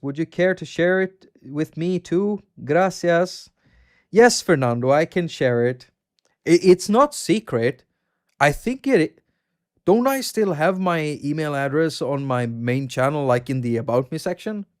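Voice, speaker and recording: A man's voice: on the high side, a little mellow. A man with a Swedish accent, hosting a casual livestream, rambling about games and reading out chat. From Sweden. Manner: chatty, rambling, reads out viewer chat and laughs often. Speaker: livestream host.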